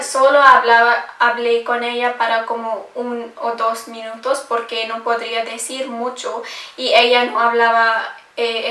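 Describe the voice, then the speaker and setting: A woman's voice: a little high, bright, forceful tone. Hesitant young woman, roomy distant sound. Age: young adult. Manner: hesitant, many fillers and self-corrections. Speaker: language-learning vlogger. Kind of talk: casual personal vlog talk. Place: home room in Scotland.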